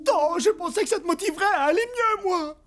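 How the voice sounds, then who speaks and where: Squeaky, goofy cartoon boar. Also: quick pace, noisy background. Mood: naive, earnest. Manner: goofy, earnest. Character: naive, greedy, blundering wild boar friend. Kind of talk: children's cartoon dub acting.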